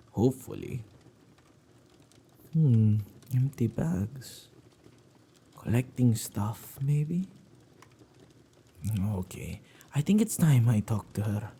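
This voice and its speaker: Man playing a dark, husky, playful witch. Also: on the low side, lively intonation, takes it slowly, pauses often, heavily acted. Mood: musing, playful. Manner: teasing, theatrical, leisurely musing. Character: playful, possessive male witch who commands spirits. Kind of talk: ASMR roleplay acting.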